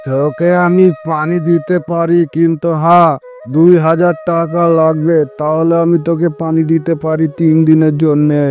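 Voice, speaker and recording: A man's voice: fairly high pitch, little pitch movement, moderate pace, a little mellow, faint music behind, natural voice. A man playing a greedy, taunting village landlord in a cartoon, condescending and drawling. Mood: bargaining, smug. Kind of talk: dramatic cartoon story acting.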